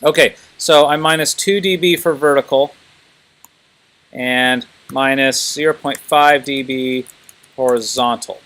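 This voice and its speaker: A man's voice: medium pitch, fairly even intonation, a little slow, fairly bright tone. A man teaching a class informally, thinking aloud and prodding students with questions.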